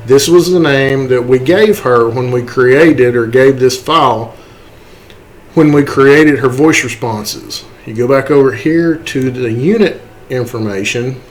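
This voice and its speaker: A man with a mid-range voice hosts a software tutorial. He talks slowly and haltingly, going step by step and often checking that the listener follows. There is some noise in the recording. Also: medium pitch. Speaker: tutorial host.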